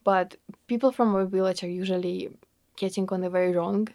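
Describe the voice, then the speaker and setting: A woman's voice: mid-range voice, fairly even intonation, brisk, dark tone, no echo, clean studio sound. A young woman with a Russian accent, earnestly answering interview questions in a casual way, filling her talk with "like" and "you know". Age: young adult. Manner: earnest, fillers like "like" and "you know", laughs often.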